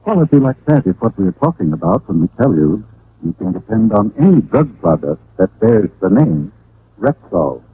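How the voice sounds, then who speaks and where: A man's voice: lowish voice, big pitch swings, normal speed, breathy edge, dry recording. An American man explaining a product like a salesman in a 1940s radio advertisement. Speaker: commercial pitchman. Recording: tinny vintage radio recording.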